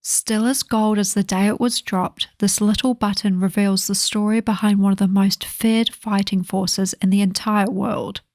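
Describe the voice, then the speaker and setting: A woman's voice: mid-range voice, steady tone, normal speed, hardly any pauses, a little mellow, no room sound. Dry-miked woman narrating. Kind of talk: scripted history narration.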